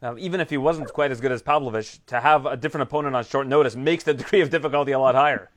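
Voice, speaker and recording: A man's voice: medium pitch, very fast. A man interviewing fighters, upbeat and inquisitive, often restarting his questions. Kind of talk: sports interview.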